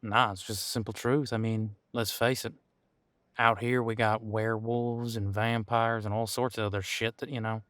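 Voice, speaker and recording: Smooth, easygoing veteran cop, acted by a man. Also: medium pitch, dry recording, natural voice. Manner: casual, candid, chatty, with thoughtful pauses. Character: easygoing veteran male supernatural police officer showing newcomer around. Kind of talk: audio roleplay acting, one-sided conversation.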